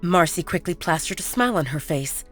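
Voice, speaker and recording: A woman narrating a werewolf romance in measured, scripted storytelling. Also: on the low side, animated, a bit bright, soft background music.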